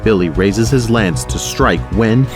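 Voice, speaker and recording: A man's voice: on the low side, unhurried, loud music behind the voice. A man narrating an action audio drama from a script.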